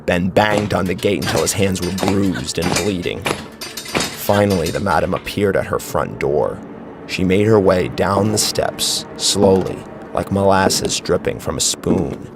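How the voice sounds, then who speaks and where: A man reads scripted horror fiction as its dramatic narrator. His voice is deep and creaky, with lively swings of intonation. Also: measured pace, heavy background noise.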